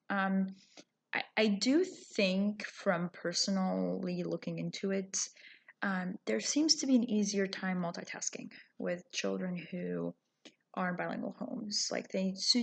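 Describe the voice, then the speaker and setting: A woman's voice: medium pitch, vocal fry. A young adult woman, raised speaking Arabic, talking casually to her viewers with lots of ums and "you know"s. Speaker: vlogger. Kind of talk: casual talking-to-camera vlog.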